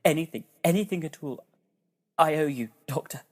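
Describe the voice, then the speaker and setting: A man playing an earnest, awed and grateful painter in an audio drama, deferential, with a husky voice. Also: on the high side, moderate pace, darkish tone, lightly acted.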